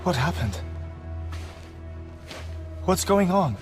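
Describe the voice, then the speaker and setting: An actor playing a grieving middle-aged scientist in a cinematic video game, measured and articulate. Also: male voice, high voice, big pitch swings, heavily acted. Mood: desperate. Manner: measured, articulate, wistful explaining. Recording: music behind the voice.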